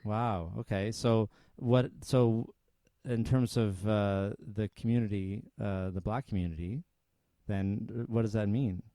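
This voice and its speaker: Curious man host, halting questions. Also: lowish voice, fairly even intonation, warm tone, no room sound. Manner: curious, asks follow-up questions, hesitant with many uhs. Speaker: podcast host. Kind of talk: casual interview chat.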